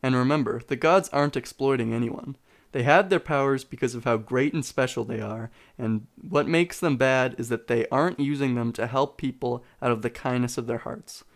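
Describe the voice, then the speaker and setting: Creaky-voiced man, sarcastic video essayist. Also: mid-range voice, normal speed. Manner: sarcastic, informal. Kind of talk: scripted video essay commentary.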